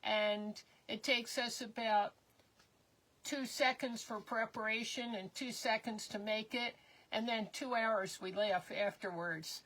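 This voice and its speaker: An older woman co-hosting a live crafting stream, chatting in a rambling, casual way with many pauses as her voice wears thin and hoarse. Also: a little high, fairly even intonation, a bit bright, low-quality recording. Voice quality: slightly hoarse. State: losing her voice.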